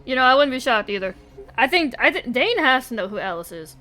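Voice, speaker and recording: A woman's voice: sits high, big pitch swings, brisk, pushed and bright. A woman streaming a game, giving casual live reactions in a wry, informal way. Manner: wry, informal asides, mild swearing. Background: game music.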